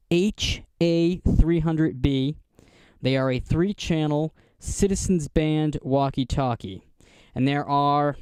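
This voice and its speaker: An American man giving a casual, unscripted show-and-tell narration in a halting, explanatory manner. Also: medium pitch, darkish tone, clean tone, no room sound. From United States. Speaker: vlogger showing vintage radio gear.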